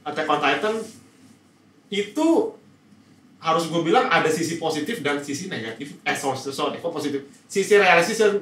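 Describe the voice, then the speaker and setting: A man with a fairly high, bright voice talks through his opinions in a casual, rambling way. He often doubles back on words, and the sound is roomy and a little distant. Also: lively intonation, normal speed. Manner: rambling, repeats words while reasoning. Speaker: podcast panelist. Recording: roomy, distant mic, faint noise.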